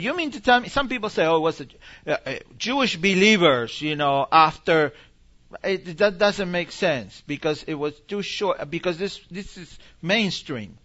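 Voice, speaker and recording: A dull, band-limited recording carries a man preaching informally. His voice sits a little high and cuts through sharply. He stumbles and repeats himself as he explains and fields questions. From Argentina. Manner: animated, halting, repeats phrases. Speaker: visiting preacher. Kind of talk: informal religious teaching with audience questions.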